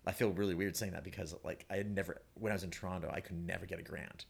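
A man speaking as a guest in a casual panel discussion, halting and repeating words, with emphatic turns. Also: sits low, steady tone, gravelly, breathy edge.

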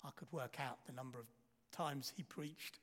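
A man preaching a sermon, telling a story and asking the congregation rhetorical questions, his volume swinging from loud to hushed. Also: mid-range voice, fairly even intonation, moderate pace. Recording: reverberant hall.

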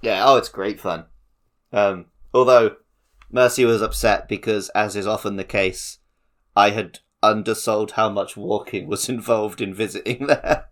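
A man's voice: fairly low pitch, steady tone, measured pace, a bit bright. A man chatting casually as a podcast co-host. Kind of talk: casual chat.

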